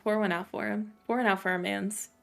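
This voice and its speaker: A woman streaming a video game with casual running commentary. Her mid-pitched voice is chatty and slangy, with faint game music behind it. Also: fairly even intonation, fairly quick, gravelly.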